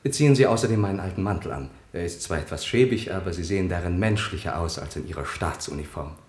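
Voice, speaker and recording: An actor playing a truth-telling servant and diarist in a German radio play, formal and deliberate with quiet irony. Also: male voice, low-pitched, natural voice. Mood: calm, in control. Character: politely insolent male servant, the ruler's truth-telling diarist. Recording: roomy, echoing studio sound.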